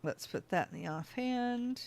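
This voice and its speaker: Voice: mid-range voice, animated, measured pace, creaky. A gaming channel host giving casual let's-play commentary, chatting to themselves and thinking aloud as they play.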